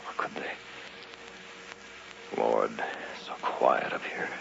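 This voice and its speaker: A man's voice: slow pace, fairly bright tone. An actor playing a paranoid, desperate man trapped alone in an old radio horror drama, going between muttering and panicked cries. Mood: fearful muttering. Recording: muffled, hissy vintage radio recording.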